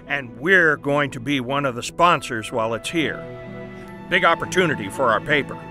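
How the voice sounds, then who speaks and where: An actor playing a brisk, persuasive newspaper boss in an audio drama, confident and explanatory. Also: male voice, mid-range voice, expressive, over music, natural voice. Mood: brisk enthusiasm. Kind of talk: family audio drama acting.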